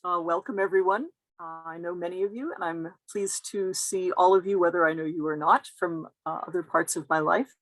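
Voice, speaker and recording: A woman's voice: fairly low pitch, steady tone, a little fast, no echo, low-quality recording. A woman giving a careful, explanatory academic talk as a guest lecturer over a video call.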